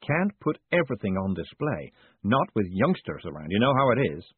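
A man's voice: on the low side, sing-song, moderate pace, husky. A man voicing a big, keen pin-shop keeper in an audiobook, proud and furtive, with a knowing, confiding salesman's patter. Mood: wary, knowing. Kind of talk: audiobook character acting. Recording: muffled narrow phone-like sound.

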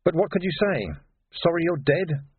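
A man voicing a gruff, weary, hot-tempered veteran policeman in an audiobook, blunt and terse. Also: a little high, moderate pace, no echo, phone-quality sound, voice pushed up. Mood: grieving.

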